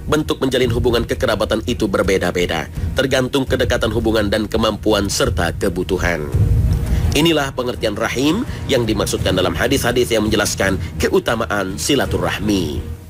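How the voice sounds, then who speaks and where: Male narrator, lowish voice; formal, measured religious documentary read with prominent music underneath. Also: animated. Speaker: narrator of an Islamic TV programme. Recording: music behind the voice. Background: loud background music.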